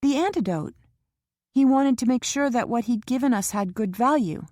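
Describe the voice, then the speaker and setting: An anxious, impulsive young woman, read by a woman narrator, pitched higher than the narration and pleading in tone. Her speech is eager and quick to protest, and the recording is close and dry. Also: expressive, a little mellow, no echo.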